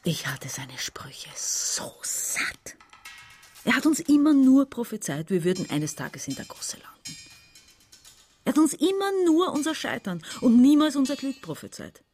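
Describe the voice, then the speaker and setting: An actress playing an intense, resentful and bossy sister of about forty in a radio drama. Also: female voice, high-pitched, big pitch swings, unhurried, darkish tone, breathy edge, soft background music, heavily acted. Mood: bitter, accusing. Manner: intense, impatient.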